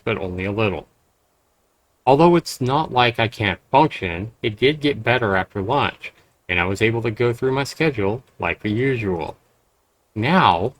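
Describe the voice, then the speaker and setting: A male game streamer with a fairly low voice, recorded dry and close. He reads story text aloud and breaks off into blunt, sweary, casual commentary. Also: a bit bright, dry recording, rough recording. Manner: blunt, profane.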